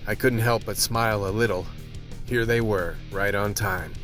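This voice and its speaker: An actor playing a wry, world-weary cop narrating a radio play in a hard-boiled noir style. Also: male voice, on the low side, steady tone, moderate pace, natural voice. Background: guitar music.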